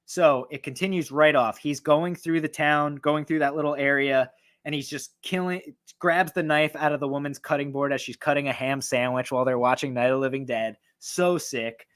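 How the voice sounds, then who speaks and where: Hoarse man gushing about horror films. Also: a little high, normal speed. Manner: excited, profane, rambling with fillers. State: losing his voice, hoarse. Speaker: horror film reviewer. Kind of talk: casual film ranking talk.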